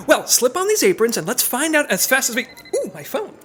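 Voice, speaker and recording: A brisk, fussy spy team leader, played by an actor in a comic audio drama. The voice is high and bright and swings about in an exasperated, earnest way. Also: huge pitch swings, slight background noise, heavily acted. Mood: brisk, businesslike.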